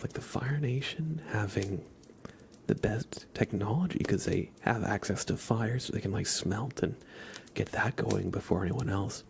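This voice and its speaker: Low, creaky, soft-spoken ASMR man. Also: a little hiss or hum. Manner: soft-spoken, often dropping into a whisper. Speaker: livestream host. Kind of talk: ASMR, casual rambling chat with live viewers.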